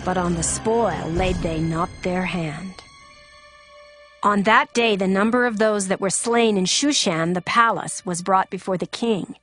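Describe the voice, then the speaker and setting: A woman narrates scripture as formal drama, in a solemn storytelling manner. Her voice sits at a medium pitch, with music playing beneath it. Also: measured pace, fairly bright tone. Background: background music.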